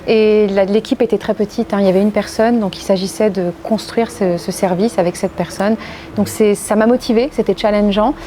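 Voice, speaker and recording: Frenchwoman in a measured interview, noisy background. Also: medium pitch, steady tone, warm tone. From France. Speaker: interviewee, corporate legal and compliance executive. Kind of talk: interview answers.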